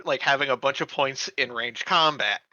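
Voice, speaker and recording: A man's voice: mid-range voice, moderate pace, bright, forceful tone, dry recording, muffled recording. A man chatting casually as a tabletop game player, often stammering and repeating his first words. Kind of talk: casual post-game chat.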